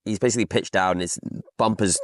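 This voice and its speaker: A man's voice: lowish voice, fairly quick, no echo, studio quality. A man chatting casually on a podcast, telling anecdotes as an off-road driver.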